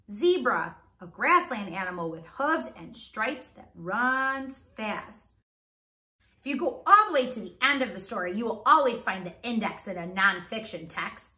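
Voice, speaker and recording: Animated high-voiced woman teaching young children. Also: echoey room. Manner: animated, enunciated, prompting listeners with questions. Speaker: teacher reading a children's book aloud. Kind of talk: read-aloud lesson for young children.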